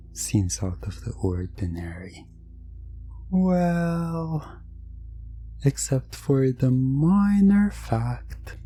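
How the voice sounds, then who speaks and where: An ASMR hypnosis narrator speaks extremely slowly and deliberately, repeating phrases. The mid-pitched voice has a crackling fry and at times falls to a near-whisper. Music plays clearly behind it. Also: warm tone. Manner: deliberate, repetitive phrasing with lingering pauses. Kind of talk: slow hypnotic ASMR roleplay narration in second person.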